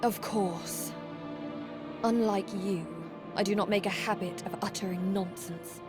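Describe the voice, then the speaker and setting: A woman's voice: mid-range voice, steady tone, measured pace, close to an everyday voice. A woman playing a dutiful young Valkyrie warrior in a video game, speaking in formal, stern, earnest phrases. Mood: stern, cold. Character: solemn, dutiful young female Valkyrie warrior serving a god. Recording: music under the voice.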